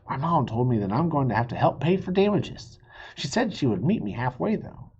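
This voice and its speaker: Upset, earnest storybook schoolgirl read by a man: gravelly, animated pitch, dull lo-fi recording. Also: fairly quick, full character voice. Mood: glum.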